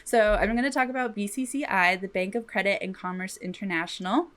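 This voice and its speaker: A woman's voice: medium pitch, fairly quick, a bit bright. A woman co-hosting a podcast, telling a true crime story from notes in an informal, slangy way with some swearing. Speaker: podcast co-host.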